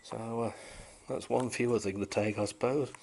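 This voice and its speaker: A man's voice: lowish voice, fairly even intonation, moderate pace, creaky, darkish tone. A British man casually talking through a repair, unscripted, in a rambling, wryly understated way. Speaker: hobbyist repairer and presenter. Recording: noisy amateur camera sound.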